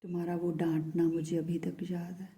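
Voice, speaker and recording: A lovesick, pleading man, as a woman speaks his message in a very mellow, dark tone that stays flat and even. The delivery is soft and hushed and often sinks to a whisper. The pitch sits low for a woman. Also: normal speed, lightly acted. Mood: tender, pleading. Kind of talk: channelled love message in first person.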